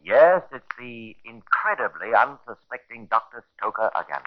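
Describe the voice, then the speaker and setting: An actor playing a sardonic, macabre storyteller, narrating a 1950s radio crime drama with ironic relish and deliberate phrasing. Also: male voice, mid-range voice, sing-song, natural voice. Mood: sardonic, ominous. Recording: dull vintage radio recording.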